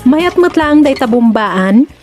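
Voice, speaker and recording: Actress as high-voiced flirty Ilocano village woman. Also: lively intonation, takes it slowly, music in the background. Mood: coy, unbothered. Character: flirtatious village woman Petra, teasing and coy. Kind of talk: Ilocano comedy radio drama acting.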